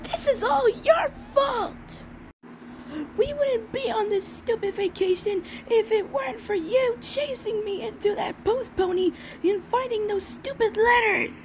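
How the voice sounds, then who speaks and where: A woman voicing a hot-tempered, bossy young cartoon filly, forceful and exclamatory, in a fan comic dub. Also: very high voice, unhurried, a little hiss or hum, rough recording. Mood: furious.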